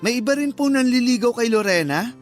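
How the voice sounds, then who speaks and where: High-voiced, eager young Filipino suitor. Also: male voice, lively intonation, fairly quick, faint music behind. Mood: polite, nervous. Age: young adult. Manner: friendly, modest, deferential. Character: polite, eager nineteen-year-old working-class suitor. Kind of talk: Tagalog radio drama acting.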